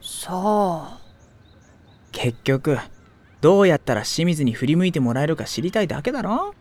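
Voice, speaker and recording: A man playing an easygoing, teasing high-school upperclassman in an anime audio drama, with a wry, casual way of talking. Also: very animated, moderate pace, darkish tone.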